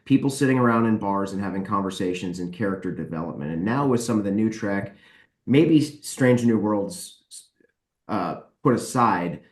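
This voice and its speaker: A man's voice: on the low side, lively intonation, moderate pace. A man chatting casually and candidly as a podcast guest, giving emphatic opinions. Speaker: podcast guest, book publisher and author. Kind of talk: casual opinionated panel chat. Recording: roomy, echoey sound.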